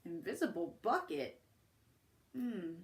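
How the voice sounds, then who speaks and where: A woman teacher reading a picture book to children and pausing to put questions to her listeners. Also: on the low side, very animated.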